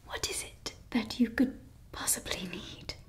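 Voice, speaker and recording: A woman's voice: fairly high pitch, a little slow, close to an everyday voice. A young woman playing a grandiose evil woman scientist in an ASMR roleplay, whispering theatrically into a close mic in a small room. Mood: exasperated. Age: young adult. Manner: whispered, theatrical, self-important. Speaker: ASMR creator. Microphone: close mic at face level.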